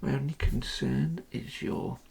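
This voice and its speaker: A person doing an ASMR dentist roleplay in a soft, breathy, creaky whisper, giving calm and reassuring instructions to a patient. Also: medium pitch, unhurried.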